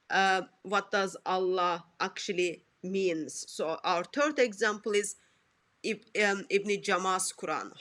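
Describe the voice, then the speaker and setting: A woman's voice: mid-range voice, fairly bright tone. A woman hosting a religious discussion over a video call, asking pointed, repetitive questions. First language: not Arabic. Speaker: host. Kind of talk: presenting a religious debate.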